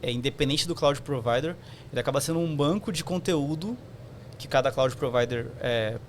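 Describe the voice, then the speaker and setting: A man's voice: medium pitch, normal speed, a little hiss or hum. A man, a podcast guest explaining technical concepts conversationally, with many fillers.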